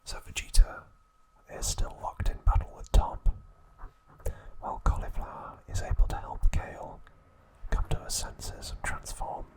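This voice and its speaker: A man narrating trivia as an ASMR host, in a slow, hushed, deliberate whisper with pauses between phrases. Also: low-quality recording.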